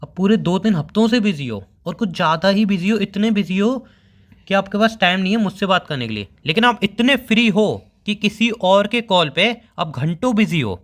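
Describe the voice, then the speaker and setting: A man's voice: high-pitched, lively intonation, moderate pace, a bit bright. A young man playing a hurt, sulky boyfriend in an everyday phone-call drama, sarcastic and reproachful. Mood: accusing, frustrated.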